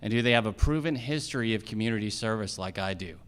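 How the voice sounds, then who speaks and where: A man's voice: lowish voice, fast talker, a bit bright, clean tone. A man speaking as a judicial candidate, giving a campaign speech at a live forum. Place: theater, live event.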